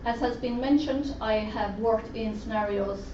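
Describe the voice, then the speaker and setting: A woman's voice: on the high side, unhurried, dark tone. Woman interpreter in an echoey room. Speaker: sign language interpreter voicing a deaf presenter. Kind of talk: first-person interpreted presentation. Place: conference room at a roundtable event. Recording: roomy, distant, dull lo-fi sound with faint noise.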